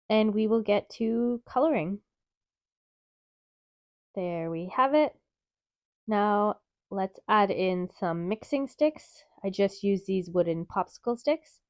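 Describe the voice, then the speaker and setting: A woman with a stuffy, nasal voice from a cold, calmly explaining steps in a craft tutorial. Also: mid-range voice, slow pace, long pauses, no room sound, clean studio sound. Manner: calm, methodical, chatty explaining. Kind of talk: step-by-step craft tutorial narration.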